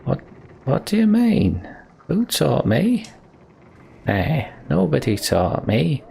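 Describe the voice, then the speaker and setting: Man putting on a high, swooping old minstrel. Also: huge pitch swings, slow, gravelly, warm tone, slightly rough, exaggerated voice. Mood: animated storytelling. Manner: colloquial, storytelling, swooping emphasis. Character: rambling, boastful old male minstrel, cheerfully world-weary. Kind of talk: reading video game dialogue in character.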